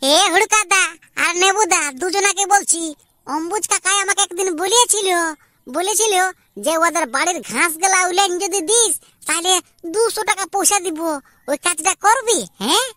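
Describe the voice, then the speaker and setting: A woman plays a cheeky young schemer in a village cartoon, with a rural Purulia Bengali accent. The voice is extremely high, bright and very dry. The delivery is insistent and bargaining. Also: normal speed, no room sound. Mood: scheming. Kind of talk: amateur comic cartoon dialogue.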